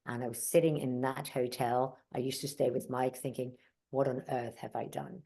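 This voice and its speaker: A woman's voice: extremely low pitch, little pitch movement, normal speed, gravelly, warm tone. A middle-aged woman whose first language is German, telling stories in a casual interview with many fillers and asides. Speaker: mountaineer and guest.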